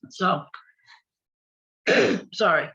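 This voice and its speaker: A woman on a committee who is losing her voice, reporting at a meeting with many fillers and throat-clearing. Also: sits low, fairly bright tone. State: hoarse, losing voice. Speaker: committee member. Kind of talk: meeting discussion. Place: public meeting room. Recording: dull lo-fi meeting recording.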